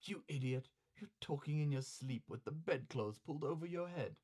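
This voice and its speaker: Man voicing a soft, coaxing, wily courtesan. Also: fairly high pitch, moderate pace, lightly acted. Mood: chiding. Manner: soft-spoken, coaxing, chiding. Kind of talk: dramatized comic storytelling.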